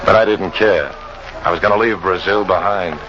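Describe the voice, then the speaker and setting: A low-voiced, bitter hunting guide played by a man narrates in tough, colloquial radio-drama style. He broods like a hardened fugitive. The old recording is muffled and very noisy. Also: normal speed, lightly acted. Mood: cynical. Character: bitter hard-boiled jungle hunting guide, fugitive, confessing his hatred.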